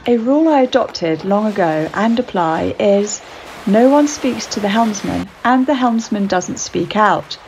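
A woman, the boat's skipper, narrating a sailing voyage in a calm, measured voice-over. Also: medium pitch, a little hiss or hum, low-quality recording.

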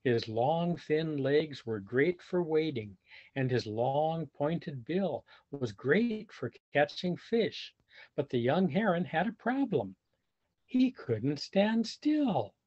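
A man with a husky voice reading a children's storybook aloud expressively and deliberately, pausing to explain things simply to young listeners. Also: fairly high pitch, very animated, darkish tone. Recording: dull lo-fi video call audio.

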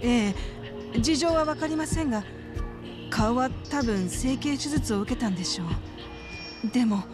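Woman acting a troubled, polite middle-aged woman, higher-voiced. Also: music in the background, close to an everyday voice. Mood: troubled, confiding. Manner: polite, measured, halting. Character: earnest, troubled middle-aged woman caretaker of children at religious facility. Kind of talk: dramatic game cutscene acting.

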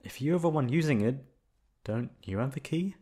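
A young man playing a gruff but affectionate, protective delinquent student in an intimate ASMR roleplay, talking in a casual, foul-mouthed way. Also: mid-range voice, animated, normal speed, mellow. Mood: startled, annoyed.

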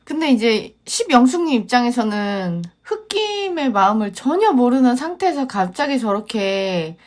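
Young woman, casual review chat, lively pitch, fairly high voice, expressive reactions. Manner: chatty, expressive, quick reactions with laughs. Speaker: podcast co-host reviewing a dating show.

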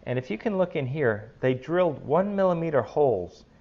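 A man's voice: fairly high pitch, lively intonation, fairly quick, muffled recording. Enthusiastic middle-aged man demoing tools. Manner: enthusiastic, chatty, with fillers. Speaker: woodworking channel host. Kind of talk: casual tool demonstration. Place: home kitchen, indoors. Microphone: lapel mic.

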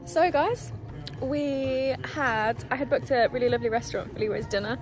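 A woman's voice: sits high, normal speed. A young woman, a travel vlogger chatting upbeat to viewers from a camera held at arm's length, outdoors. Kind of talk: casual vlog narration to camera. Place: outdoors in a town and on a rooftop terrace. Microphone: handheld camera at arm's length. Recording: music playing under the voice.

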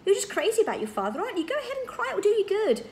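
A woman voicing a frank, caring teenage boy in a storybook, direct and gently probing. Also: high-pitched, very animated, fast talker, a little hiss or hum, voice pushed up. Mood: gentle, coaxing.

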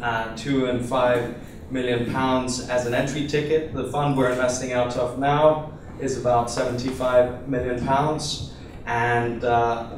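A young man from Iceland giving a relaxed investor pitch on a conference stage with a handheld mic, full of fillers and restarts. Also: slight background noise. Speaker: venture capital investor. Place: conference room with seated audience. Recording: echoey room, voice from far off.

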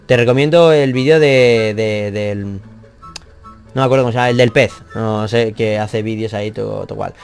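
A man's voice: fairly low pitch, fairly even intonation, moderate pace, vocal fry, clear, resonant tone. Young man, native Catalan speaker, rambling, self-deprecating, casual swearing, headset mic, small office, faint music behind. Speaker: math youtuber. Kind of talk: reading and answering comments.

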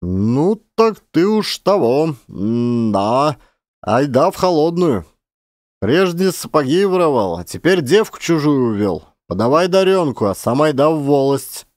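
A hesitant male village elder, voiced by a man in a dramatized storybook. He hems and haws in folksy speech, trying to sound official, with a lively rise and fall. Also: on the high side, no room sound, clean studio sound. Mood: awkward, stern. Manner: halting, humming and hawing, folksy speech.

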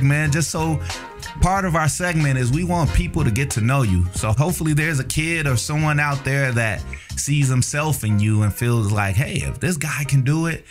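Upbeat male podcast host, faint music. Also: mid-range voice. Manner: upbeat, chatty, laughs often. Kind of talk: casual podcast interview.

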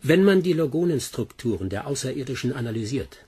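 An actor playing an earnest, principled doctor in a German sci-fi audio drama, measured and solemn in delivery. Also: male voice, medium pitch, very animated, darkish tone, husky. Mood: earnest, restrained. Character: principled, earnest male doctor, quietly defiant.

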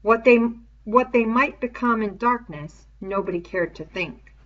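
A woman's voice: on the high side, a little slow, fairly bright tone. A woman reading a novel aloud as scripted narration, on a dull lo-fi recording. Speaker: audiobook reader.

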